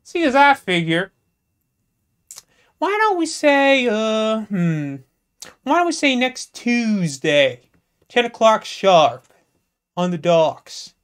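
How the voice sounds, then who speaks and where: Boastful young man, drawling, charming and slow; a woman voicing him in a comic tale. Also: on the high side, sing-song, clean tone, voice pushed up. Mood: smooth charming. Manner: slow, self-assured drawl, old-fashioned charm. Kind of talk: comic storytelling.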